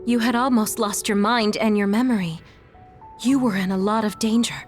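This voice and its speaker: A woman's voice: mid-range voice, fairly even intonation, normal speed, soft background music, lightly acted. An actress playing a concerned, inquisitive young female comrade in an anime game dub.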